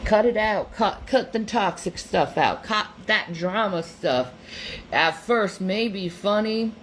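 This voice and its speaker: A woman's voice: mid-range voice, lively intonation, slow pace, slight background noise. A woman giving an earnest motivational monologue, emphatic and repeating herself.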